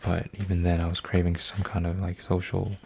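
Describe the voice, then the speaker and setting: A man in his twenties, an ASMR creator, rambling softly with lots of fillers and restarts while folding paper, sometimes dropping to a whisper. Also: low-pitched, monotone, brisk, creaky, slight background noise. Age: 20s. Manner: meandering, full of fillers and restarts. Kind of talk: soft-spoken rambling ASMR.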